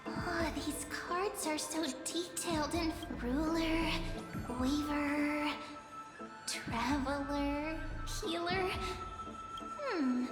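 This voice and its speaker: Super high cutesy little game mascot girl, playful and flustered, big reverb, music behind. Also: drawn-out words. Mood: musing, flustered. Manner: theatrical, sighing, coy. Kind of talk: scripted game character dialogue.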